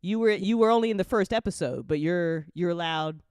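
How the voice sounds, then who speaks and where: A woman's voice: on the low side, brisk, darkish tone, clean tone, no room sound. A woman hosting a live after show in casual, joking chat, playfully repeating phrases for emphasis.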